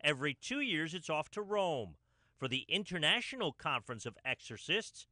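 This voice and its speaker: A man's voice: on the high side, sing-song, moderate pace, bright, forceful tone, dry recording, studio quality. An American man narrating a feature news report with a dramatic storytelling delivery.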